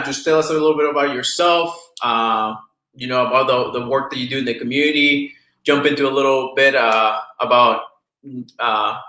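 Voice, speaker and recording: Halting host, bright voice, roomy sound. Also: male voice, mid-range voice. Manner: halting, casual, many fillers and "like". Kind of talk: online talk show hosting.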